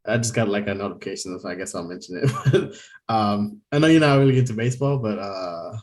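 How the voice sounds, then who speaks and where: A man's voice: on the low side, a little fast. A young man co-hosting a podcast in casual chat, talking loosely with lots of laughter and fillers. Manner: chatty, laughs often, many fillers. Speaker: podcast co-host.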